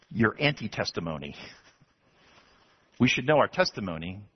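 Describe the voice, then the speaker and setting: A man pastor from Texas preaching an expository sermon to his congregation, animated and building to emphatic passages. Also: mid-range voice, breathy edge. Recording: dull lo-fi sound.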